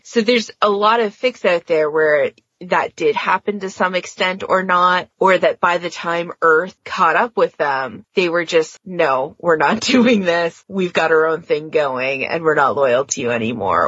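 A woman with a husky voice hosting a casual fandom podcast discussion, explaining informally. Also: sits low, a little slow, few pauses, a bit bright, no echo. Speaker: podcast host steering the discussion. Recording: dry, slightly dull lo-fi sound.

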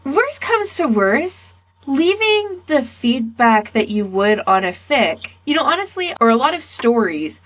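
A woman's voice: sits high, sing-song, measured pace, fairly bright tone, a little hiss or hum. A woman talking casually in a podcast discussion about fan audio. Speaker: podcast speaker, fan podficker. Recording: dull lo-fi voice recording.

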